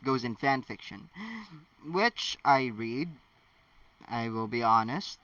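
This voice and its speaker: A man's voice: medium pitch, slow pace, sharp tone, dry recording. Casual male fan fiction host, chatty and stumbling, slightly dull recording with some mic distance. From Philippines. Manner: rambling, stumbles and corrects himself. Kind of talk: casual chatty asides while reading stories.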